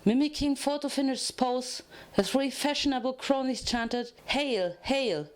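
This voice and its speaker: A woman's voice: sits high, fairly even intonation, normal speed. A woman narrating a scripted story as a dramatic reading.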